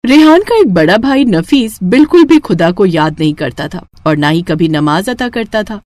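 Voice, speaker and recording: A woman's voice: mid-range voice, animated, normal speed, a little mellow, dry recording. A woman narrator reading a scripted moral story for children.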